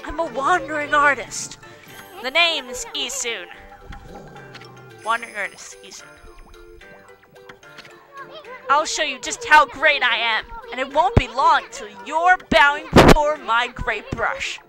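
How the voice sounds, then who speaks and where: A woman voicing a tiny, brash male bug-like wandering artist from a video game, boastful, excitable and teasing. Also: sits high, unhurried, over music. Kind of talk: video game cutscene voice-over, read aloud.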